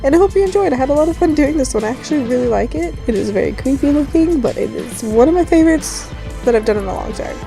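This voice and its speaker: A woman with a fairly high, mellow voice that is raspy and a little hoarse from just waking up. She narrates a makeup tutorial casually and loosely, with faint music behind her. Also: lively intonation, fast talker. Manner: rambling, informal. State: just woke up, morning hoarseness. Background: background music.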